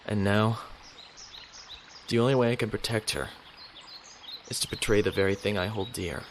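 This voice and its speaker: A man's voice: fairly low pitch, steady tone, normal speed, slight background noise, natural voice. A man playing a conflicted, honorable young naval officer in a sci-fi audio drama, earnest and brooding.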